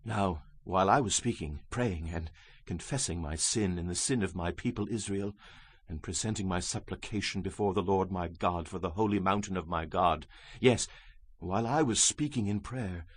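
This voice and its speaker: An actor playing an anguished Hebrew prophet in a dramatized scripture reading, husky, solemn and impassioned as he pleads with God. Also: deep voice, normal speed, no echo. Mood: subdued, penitent. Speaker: actor in a dramatized audio Bible.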